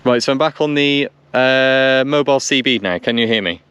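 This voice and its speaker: A man's voice: mid-range voice, normal speed, fairly bright tone, clean tone, dull audio. A man giving casual running commentary and radio calls, repeating himself as he thinks aloud. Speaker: vlogger testing two-way radios. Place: outdoors on a country lane. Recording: noisy outdoor recording with vehicle sounds.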